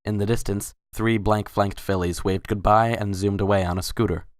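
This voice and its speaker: Woman narrating a story, really deep voice, barely moves up or down. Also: fairly even intonation, normal speed, no echo, studio quality. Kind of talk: scripted story narration, dramatic reading.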